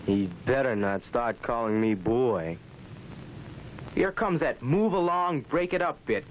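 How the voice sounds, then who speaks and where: A man's voice: mid-range voice, animated, unhurried, lightly acted. An actor playing a resentful, wary man on a city street, sardonic and grumbling, in a 1960s training film. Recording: slightly noisy old film soundtrack.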